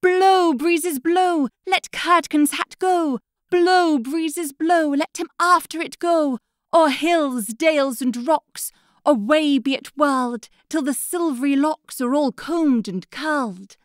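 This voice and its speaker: A young princess forced to tend geese calls out her rhymes in a lilting sing-song, played by a woman reading the tale. The voice is pitched very high and rings out loud over a close, dry storybook read. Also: takes it slowly, dry recording. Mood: pleading. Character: sorrowful young princess turned goose girl.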